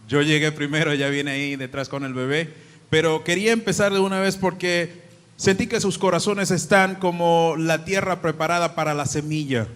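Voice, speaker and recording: A man's voice: fairly high pitch, fairly bright tone, clean tone. A man from the Dominican Republic with a Dominican accent, preaching a sermon to a congregation, praying, reading scripture aloud and speaking chattily between readings. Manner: prays and reads scripture aloud, then talks chattily to the congregation. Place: church hall. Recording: long church hall reverb.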